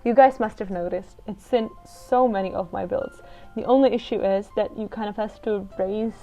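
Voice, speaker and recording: A woman's voice: mid-range voice, steady tone, moderate pace, dark tone. A woman from the Czech Republic with a Czech accent gives a casual, chatty, unscripted voiceover as a video creator, explaining her choices as she goes. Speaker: video creator narrating a game build. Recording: dull lo-fi voiceover with music. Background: background music.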